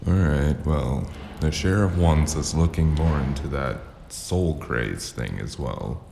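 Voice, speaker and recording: Very deep, gravelly noir investigator. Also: male voice, slow, a little hiss or hum, heavily acted. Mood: dry, guarded. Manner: dry, deadpan, clipped. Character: weary, sardonic male noir investigator in a cyberpunk city. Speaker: lead actor and narrator. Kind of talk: noir audio drama acting and narration.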